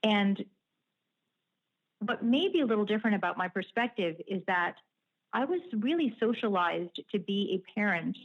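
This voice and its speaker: A woman guest, an author and journalist whose first language is Japanese, answering in a measured, articulate, detailed way. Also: medium pitch, animated, lo-fi sound. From United States. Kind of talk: interview answers.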